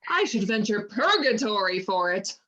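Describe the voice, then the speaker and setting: A woman's voice: mid-range voice, big pitch swings, normal speed, pushed and bright, highs cut off. A woman playing a worldly, outspoken lady's maid in a Shakespearean radio play, with a wry, frank manner. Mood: wry, teasing.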